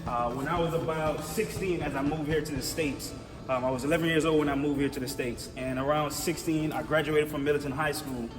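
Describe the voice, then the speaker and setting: A man from Jamaica speaks to a conference audience with lively energy, his voice sitting a little high. He tells stories in a casual way and keeps checking in with the crowd. The sound comes from far across a reverberant hall with music underneath. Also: fairly quick. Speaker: motivational speaker. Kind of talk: conference talk with call-and-response.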